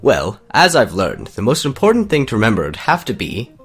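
An actor playing a calm, courteous young martial-arts student with a measured, formal manner in an audio drama. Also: male voice, medium pitch, husky, soft background music, exaggerated voice. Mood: calm, patient.

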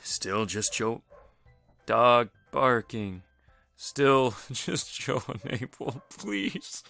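Creaky, forceful, exasperated man. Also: mid-range voice, extremely slow, no echo, faint music behind. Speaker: amateur voice actor in a fan audio drama. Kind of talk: repeated dramatic line takes with exasperated pleas.

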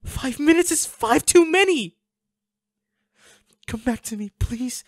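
A man playing a devoted, anguished boyfriend in an intimate audio roleplay, pleading and halting with emotion. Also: very high-pitched, lively intonation, no room sound, clean studio sound, full character voice. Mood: panicked, pleading. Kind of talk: intimate dramatic audio roleplay, one-sided dialogue.

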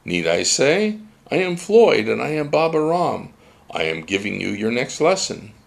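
A man's voice: medium pitch, sing-song, a little slow, creaky, pitched above the performer's own voice. A man voicing a mysterious spirit teacher that takes a child's form, speaking bluntly and instructively.

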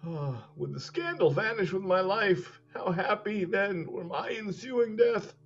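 A man in his forties playing a dying old English duke in a Shakespeare reading over a video call, declaiming slowly and with passion. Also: high voice, animated, slightly rough, faint music behind, exaggerated voice. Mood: grave. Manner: declamatory, slow and impassioned. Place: home room. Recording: muffled video call audio.

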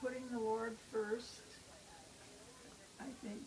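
Very old lady chatting in a care home room, halting and modest, people talking around her. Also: a little high, little pitch movement, crowd noise, poor audio. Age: over 90. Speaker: interviewee. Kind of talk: casual conversation.

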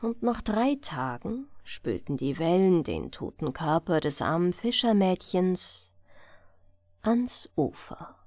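A woman narrating a bedtime fairy tale as an audiobook reader, with gentle, measured delivery. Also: on the low side, big pitch swings, dry recording.

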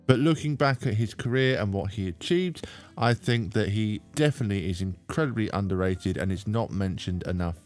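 A man's voice: on the low side, animated, fairly quick, darkish tone. Male sports video narrator over music. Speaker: host of a bowling video channel. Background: background music.

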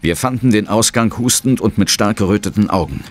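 A man playing a serious, brooding ghost hunter who narrates a horror audio drama gravely and dramatically. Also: fairly low pitch, brisk, lightly acted. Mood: somber. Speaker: first-person narrator and hero.

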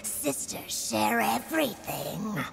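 An actress playing a vicious, mocking warrior princess who is half monster, in a theatrical video game style. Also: female voice, fairly high pitch, lively intonation, slow pace, creaky, a little hiss or hum, exaggerated voice. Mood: mocking, sly. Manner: theatrical, taunting, menacing. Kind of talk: video game character acting. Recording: long hall reverb, music behind.

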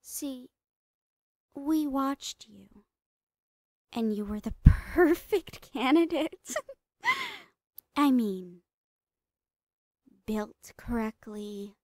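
Woman doing a creepy sweet mad scientist lady for horror asmr, slow, breathy whispery, giggly, real dry close mic. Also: high-pitched, animated, darkish tone, no echo, full character voice. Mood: falsely sweet. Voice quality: breathy, often dropping into whispers. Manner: soothing, giggly, drawn-out words, falsely reassuring. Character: smiling psychotic woman doctor, sweetly menacing mad scientist. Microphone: close microphone, dry.

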